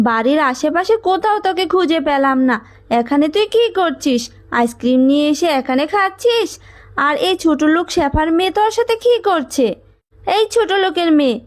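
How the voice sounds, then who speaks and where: A woman voicing a haughty tigress mother in a fable cartoon, scolding with contempt. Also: very high voice. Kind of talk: children's cartoon voice acting.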